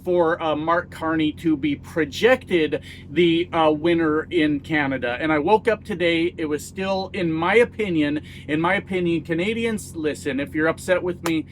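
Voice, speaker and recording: A man's voice: on the high side, moderate pace, hardly any pauses, a little hiss or hum. A middle-aged American man giving blunt, casual political commentary, folksy and self-mocking, swearing freely. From United States. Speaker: YouTube commentator.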